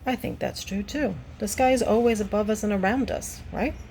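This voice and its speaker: A woman's voice: medium pitch, fairly quick, gravelly, a little mellow, slight background noise, poor audio. A woman reading a picture book aloud to children, adding simple teacherly explanations and putting questions to the listener. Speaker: children's read-aloud presenter.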